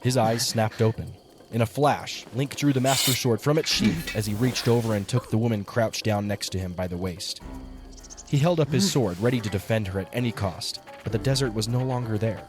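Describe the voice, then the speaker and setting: Low-voiced male story narrator over music. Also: a little fast. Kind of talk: scripted dramatic story narration. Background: music behind the voice.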